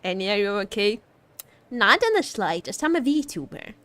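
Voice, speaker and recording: A woman's voice: medium pitch, sing-song, normal speed, fairly bright tone. A woman streaming as a VTuber, chatting casually about a game in a teasing, joking, giggly way. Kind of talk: casual livestream chat reacting to a game.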